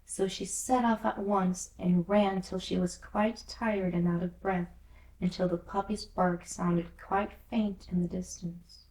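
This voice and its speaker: Slow-paced woman storyteller in echoing room. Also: on the low side, steady tone, darkish tone. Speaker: storyteller reading a children's classic. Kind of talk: scripted storybook narration. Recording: roomy echo, distant from mic.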